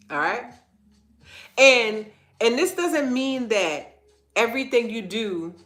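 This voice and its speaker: A woman's voice: medium pitch, bright, forceful tone. A woman hosting an inspirational faith-based livestream, earnest and repeating phrases for emphasis, her nose stuffy and congested. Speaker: host of a live online talk.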